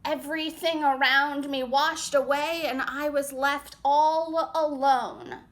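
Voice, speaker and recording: A woman voicing a gentle, lost garden snail in a picture book, speaking in a very slow, drawn-out way. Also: high-pitched. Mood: slow, forlorn. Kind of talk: picture book read-aloud.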